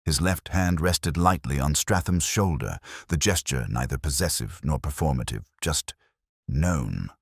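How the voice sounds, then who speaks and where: A man with an extremely low voice narrates a detective mystery in the first person. His delivery is measured and brooding, with lively rises and falls through each literary phrase. The sound is dry and close, with a husky grain. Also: no room sound.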